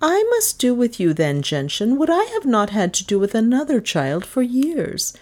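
A woman narrator plays a stern, exacting schoolmistress in a children's storybook. She speaks formally and in clipped phrases, lifting her pitch as she coolly shames a pupil. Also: big pitch swings, moderate pace, a little mellow. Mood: coldly resolved. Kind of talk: children's audiobook character voice.

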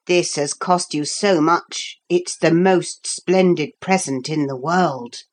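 Woman as a gentle, slow-spoken wise man. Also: sits low.

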